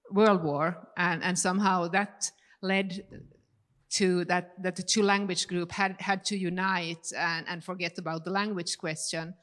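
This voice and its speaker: A woman's voice: on the low side, a bit bright. A middle-aged woman from Finland with a Finland-Swedish accent, a native Swedish speaker answering on a panel in English with many restarts and fillers.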